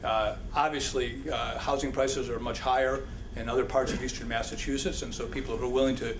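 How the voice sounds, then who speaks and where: A man answering audience questions at a public policy forum, halting and full of restarts. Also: medium pitch, little pitch movement. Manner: halting, many restarts and fillers. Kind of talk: public Q&A talk. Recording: echoey hall with background noise.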